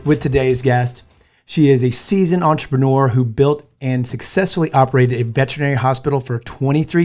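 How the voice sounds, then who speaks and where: A man's voice: medium pitch, a little mellow. A man from the United States hosting a podcast, chatting in a friendly, easygoing way and joking with his guest. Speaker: podcast host. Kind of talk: casual interview chat.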